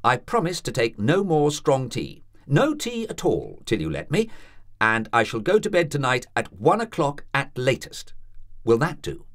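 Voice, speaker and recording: A man's voice: mid-range voice, huge pitch swings, measured pace, voice pushed up. Guy doing a chirpy polite young student, upbeat, bouncy voice. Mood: cheerful. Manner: bright, courteous.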